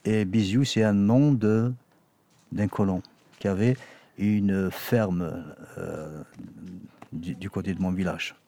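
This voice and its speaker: A man's voice: low-pitched, slow pace, long pauses, dark tone. A man from Algeria, a journalist and poet whose first language is not French, answering in an interview with many hesitations and reading a poem. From Algeria (Kabylie). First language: Kabyle or Arabic, not French. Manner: hesitant, many fillers and restarts.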